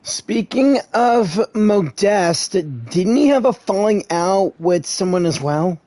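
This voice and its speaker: A man's voice: sits high, takes it slowly, warm tone. A man doing casual, conversational live game commentary and reading dialogue along. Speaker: gaming streamer playing along. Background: game music.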